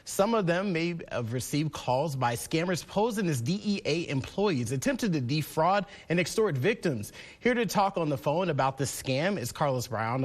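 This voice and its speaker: A man working as a morning news anchor, presenting and interviewing in broadcast news style. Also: medium pitch.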